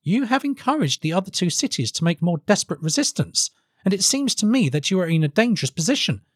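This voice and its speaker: Man voicing an eager, high-pitched young man. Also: big pitch swings, fast, breathy edge, dry recording, studio quality, heavily acted. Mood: earnest, urging. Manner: earnest, insistent. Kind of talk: audiobook character voice.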